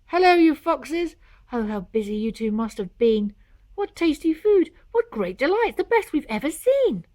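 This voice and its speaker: A woman's voice: high-pitched, very animated, moderate pace, mellow, no room sound, voice pushed up. A woman voicing a friendly, grateful storybook badger with an effusive, enthusiastic manner. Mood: delighted.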